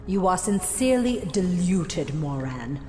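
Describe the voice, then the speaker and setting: Actress as cold fierce female detective, measured slow delivery, theatrical menace, radio drama, music behind. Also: fairly low pitch, sing-song, darkish tone. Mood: cold threat. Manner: deliberate, threatening, theatrical. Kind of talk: audio drama acting. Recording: long tunnel-like reverb with music underneath.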